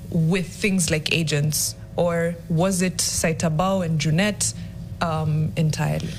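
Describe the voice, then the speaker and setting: A woman interviewing a guest on politics, putting questions to them. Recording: rumbly, clearly noisy background.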